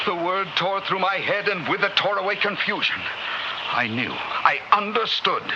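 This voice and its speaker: An actor playing a bewildered outsider in a future world, narrating in halting, repetitive, dramatic bursts in old radio style. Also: male voice, high-pitched, lively intonation. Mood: horrified. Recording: dull, noisy old radio drama recording.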